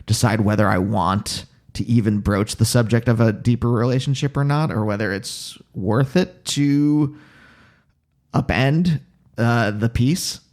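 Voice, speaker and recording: A man with a Pennsylvania accent touched by New York, hosting a casual podcast conversation and thinking aloud in halting, self-interrupting phrases. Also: mid-range voice, darkish tone. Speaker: podcast host.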